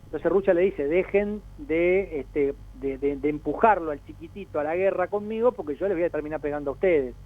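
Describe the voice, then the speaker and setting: A man's voice: a little high, fairly quick, few pauses. A man with an Argentine accent giving spontaneous, discursive analysis as an interview guest, often voicing imagined quotes to make his points. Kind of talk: spontaneous explanatory commentary. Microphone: telephone call. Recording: narrow tinny phone line.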